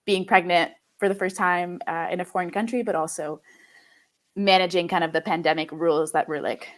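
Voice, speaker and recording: A woman in her late twenties from Quebec, a native French speaker, chatting as a podcast guest in a relaxed way with lots of fillers. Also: fairly low pitch, fairly quick. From Quebec, Canada. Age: 20s. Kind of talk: casual conversation.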